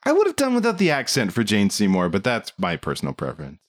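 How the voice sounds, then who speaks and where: A man talking casually as a podcast host, rambling along in an animated, amused way. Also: fairly low pitch, fast. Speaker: film discussion podcast host. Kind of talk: casual movie review chat.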